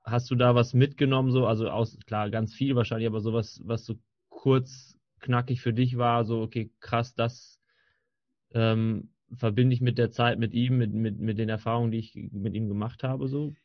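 A man's voice: medium pitch, fairly even intonation, moderate pace, no room sound. A man interviewing a guest, asking long rambling questions with many restarts and fillers. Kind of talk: casual interview. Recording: dry, dull lo-fi sound.

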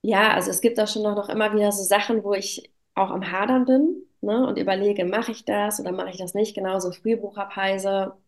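A woman's voice: medium pitch, fairly even intonation, fairly quick, hardly any pauses. Reflective woman chatting as an interview guest. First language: German. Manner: reflective, filler-laden with frequent "ne" tags. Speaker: interview guest, self-employed business owner. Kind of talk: casual conversational interview. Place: at home.

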